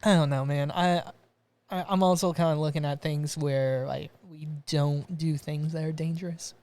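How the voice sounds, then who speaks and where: A man's voice: a little high, steady tone, normal speed, a little mellow, clean tone, dry recording. A man from Romania hosting a podcast from his garage studio, chatting casually and rambling with frequent restarts. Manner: rambling, restarts and laughs often. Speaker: podcast host. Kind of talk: casual chat.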